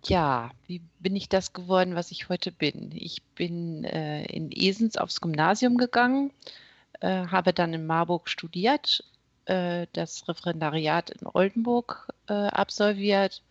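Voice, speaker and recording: A middle-aged woman from northern Germany, a judge and court president, answering interview questions in a measured way with frequent hesitations. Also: lowish voice, dry recording, lo-fi sound. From East Frisia, Germany. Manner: measured, many hesitation sounds.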